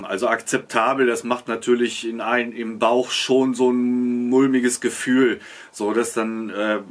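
A man's voice: on the low side, a bit bright. Chatty middle-aged man reviewing products. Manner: chatty, thinks aloud while inspecting. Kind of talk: casual unboxing commentary. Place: small wood-panelled room.

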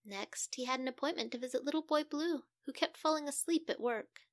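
A woman's voice: high voice, brisk, dry recording, clean studio sound. A woman narrating a scripted children's story as a storyteller.

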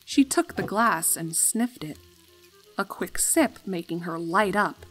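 A woman reading a fan fiction story aloud as an expressive narrator. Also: on the low side, slow pace, faint music behind, rough recording.

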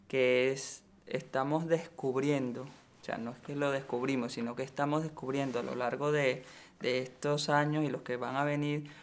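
A young man answering interview questions about his cooperative, rephrasing himself as he goes. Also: mid-range voice. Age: young adult. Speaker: cooperative member. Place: bright room by large windows.

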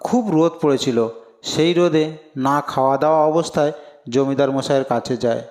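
A man's voice: mid-range voice, fairly quick, darkish tone. A man narrating a scripted cartoon story as a storyteller.

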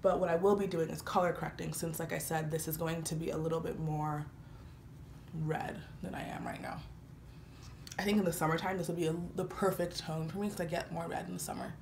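A woman's voice: low voice, brisk, creaky. Chatty young woman with a slight cold. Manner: chatty, relaxed, frequent fillers. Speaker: beauty vlogger. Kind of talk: casual makeup tutorial talk. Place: small indoor room, patterned wall with lights. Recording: roomy echo, faint background hiss.

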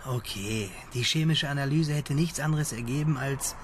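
A man's voice: medium pitch, normal speed, a little hiss or hum, natural voice. A man playing a hesitant, slightly shaken witness, talking casually in a radio drama. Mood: wry, uneasy. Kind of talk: conversational radio drama dialogue.

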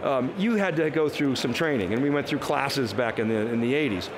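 A man's voice: mid-range voice, brisk, noisy background. An older man, co-host and tech analyst, talking through market trends in a live trade show chat, restarting phrases as he thinks aloud. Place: TV set on a busy convention floor.

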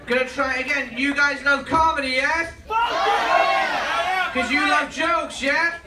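Loud high-voiced comedy emcee, distant. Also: male voice, steady tone, a little slow. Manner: loud, crowd-rousing, call-and-response. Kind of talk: stand-up hosting. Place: ship comedy club, filmed from the audience. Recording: roomy, distant, loud crowd noise.

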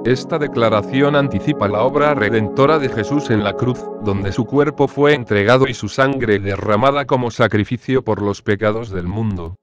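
A man's voice: mid-range voice, moderate pace, few pauses, lo-fi sound, over music. Man reading a devotional reflection. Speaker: gospel reflection narrator. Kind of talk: scripted devotional narration.

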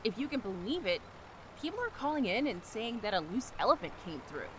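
A woman playing a brisk, no-nonsense animal expert in an audio drama. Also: medium pitch, very animated, fairly bright tone, slight background noise, poor audio. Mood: puzzled.

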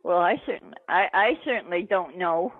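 A woman's voice: lowish voice, normal speed, dry recording. An older woman talking on the telephone in a plain-spoken, proper, questioning way. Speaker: prank call recipient. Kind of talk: unscripted phone conversation. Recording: narrow tinny phone line.

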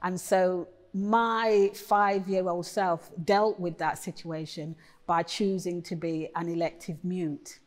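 A woman's voice: fairly low pitch, fairly even intonation, takes it slowly. A middle-aged woman poet from England, speaking candidly and reflectively in a documentary interview in a gallery room.

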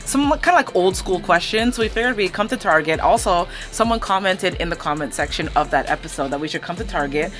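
Relaxed woman chatting in a store. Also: fairly low pitch, quick pace, hardly any pauses, music in the background. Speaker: co-host, wife. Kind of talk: casual conversation. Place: large store, indoors.

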